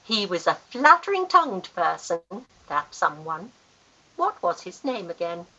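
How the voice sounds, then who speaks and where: A woman performs a grand older Victorian lady, an affectionate guardian with a teasing wit. Her voice is bright and swoops expressively in theatrical style, on a slightly dull recording. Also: on the low side, big pitch swings, unhurried, slight background noise, exaggerated voice. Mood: wry, fond. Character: worldly, affectionate older aunt and wealthy guardian, teasing, wry. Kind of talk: Victorian period radio acting.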